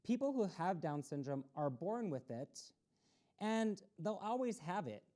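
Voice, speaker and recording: A woman with a low, soft-toned voice hosts a read-aloud for children. Her intonation moves up and down in a very lively way. She uses simple, instructive phrasing and puts questions to her young listeners. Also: a little slow. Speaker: story time host reading a picture book to children. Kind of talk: read-aloud storytime with explanatory asides.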